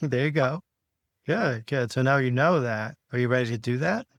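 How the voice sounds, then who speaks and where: A man working as a healing practitioner, guiding a client with questions and affirmations in a halting, checking-back way. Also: mid-range voice, expressive, dry recording. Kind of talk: guided session, gentle questioning.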